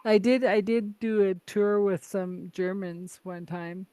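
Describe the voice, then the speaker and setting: A woman's voice: mid-range voice, steady tone, unhurried, warm tone, smooth, no room sound. A woman hosting a podcast from Canada, chatty and laughing often, full of "like" and "right?". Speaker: podcast host. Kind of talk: casual conversation.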